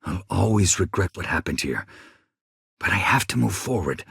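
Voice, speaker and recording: An actor playing a soft-spoken, earnest male engineer haunted by loss, polite and measured, in a naturalistic video game style. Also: sits low, expressive, creaky, husky, no echo, studio quality, exaggerated voice. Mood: earnest, calm. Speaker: engineer and ship crew companion.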